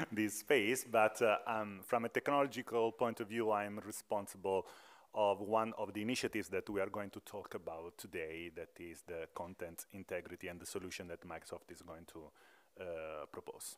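A middle-aged man with an Italian accent introducing himself on a conference panel, halting and self-deprecating, with many fillers. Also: fairly low pitch. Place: conference hall stage. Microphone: table microphone.

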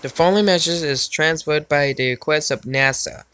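A man's voice: on the high side, normal speed, sharp tone, clean tone, no echo. A man's robotic, stilted voice reading a formal scripted emergency broadcast announcement.

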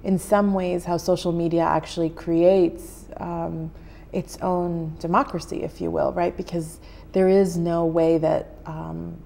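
An American woman in her thirties, a journalist answering interview questions in a TV studio, thoughtful with frequent pauses, on a lapel mic. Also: on the low side, level tone, creaky, dark tone. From United States. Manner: thoughtful, many "um" pauses, gestures while talking. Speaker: journalist guest. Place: TV studio set.

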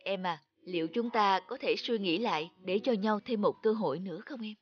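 A man playing an earnest, protective young husband in an animated drama. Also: high-pitched, a little fast, husky, dry recording, highs cut off. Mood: pleading. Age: young adult. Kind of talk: cartoon voice acting.

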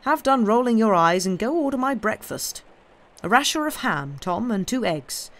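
A woman voicing a pompous gentleman who brusquely scolds his servant. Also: a little high, big pitch swings, pitched above the performer's own voice. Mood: scolding. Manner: brisk, scolding, indulgent.

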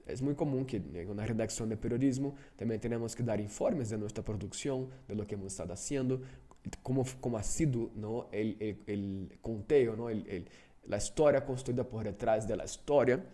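A man's voice: lowish voice, moderate pace, mellow. Man leading a workshop. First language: Portuguese. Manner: rambling, self-correcting, frequent "¿no?" tags. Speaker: workshop instructor. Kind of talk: live online workshop lecture.

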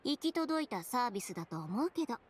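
Cool, proud young designer, high-voiced. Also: female voice, sing-song, fast, a little hiss or hum. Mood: dry, teasing. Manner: confident, dry, teasing. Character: self-assured, blunt young female fashion designer, cool and proud. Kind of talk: anime video game dialogue. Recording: game music behind the voice.

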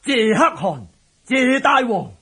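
A proud and loyal general in a historical radio drama. His high voice is very rough and husky, and he declaims formally. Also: big pitch swings, slow, fairly bright tone, exaggerated voice. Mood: resolute, respectful.

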